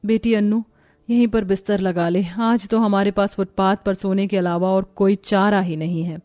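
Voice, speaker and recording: A woman acts out a poor homeless mother in a cartoon story. She is gentle and long-suffering, with a soft, plaintive manner at middle pitch, recorded dry. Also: steady tone, normal speed, no room sound, natural voice. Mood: sorrowful, consoling.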